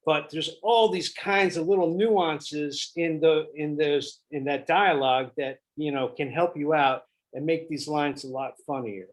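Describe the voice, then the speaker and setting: Male director, slightly high voice, informal feedback, halting delivery that circles back on itself as he thinks. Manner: halting, thinking aloud, repeating himself. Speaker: director giving notes to actors. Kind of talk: casual spoken feedback.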